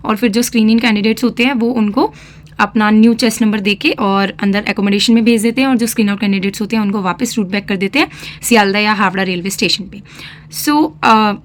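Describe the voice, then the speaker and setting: A woman's voice: medium pitch, quick pace. A young woman with a slightly sore throat giving casual, chatty advice in a vlog and often checking in with her listeners. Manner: chatty, mixes English phrases, frequent "theek hai" check-ins. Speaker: defence exam aspirant vlogger.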